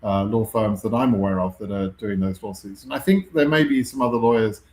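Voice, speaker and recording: A man's voice: on the low side, brisk, a little mellow. British man answering questions, echoey room. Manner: conversational, frequent ums and self-corrections. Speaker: host answering viewer questions. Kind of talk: live Q&A stream.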